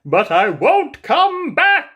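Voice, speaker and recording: A woman playing a young storybook prince who calls out in a drawn-out, flattering, theatrical way. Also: sits high, voice pushed up, exaggerated voice. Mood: huffy. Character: pleading, flattering young male fairytale prince.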